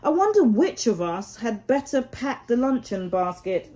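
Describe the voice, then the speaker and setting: A woman's voice: a little high, big pitch swings, measured pace, mellow. A woman voicing a genial, sensible water rat who mildly bosses a younger friend in a storybook. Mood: genial, reluctant.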